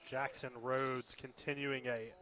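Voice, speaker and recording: A man giving measured, analytical color commentary on a live radio basketball broadcast. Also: medium pitch, little pitch movement. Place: indoor basketball game. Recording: crowd noise at a basketball game. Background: crowd and bouncing ball.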